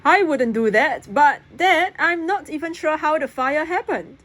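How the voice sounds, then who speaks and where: A woman's voice: high-pitched, animated, a little slow, a bit bright, voice pushed up. A woman voicing an anxious, rebellious boy in an exclaiming storybook read-aloud. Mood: panicked. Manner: exclaiming, stammering. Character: anxious, rebellious boy narrator's panicked dialogue. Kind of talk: acted storybook dialogue. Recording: clear background noise.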